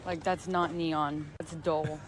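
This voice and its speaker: A woman's voice: low-pitched, flat delivery, slow pace, noisy background. A woman from the United States chatting and giggling while filming a walk through a supermarket. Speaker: vlogger. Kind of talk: casual walk-around vlog.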